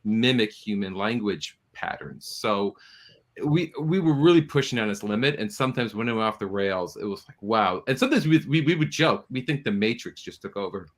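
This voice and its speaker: A man's voice: fairly low pitch, animated, normal speed. A man speaking as a studio producer and guest in a panel interview, explaining things earnestly and with emphasis.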